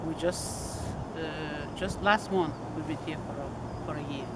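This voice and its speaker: A Belgian woman missionary, a native French speaker, answering in English with halting speech and many restarts. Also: low voice. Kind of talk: casual interview answers. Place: outdoors by a lake. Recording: outdoor noise.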